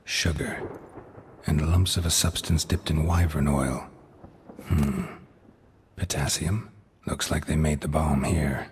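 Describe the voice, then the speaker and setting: A taciturn, middle-aged monster hunter from a fantasy game. The voice sits extremely low and is heavily husky, with long reverb around it. He speaks in short, deadpan, sardonic phrases. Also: exaggerated voice. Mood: pensive, muttering. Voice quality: gravelly, husky. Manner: terse, clipped, deadpan. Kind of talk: video game acting.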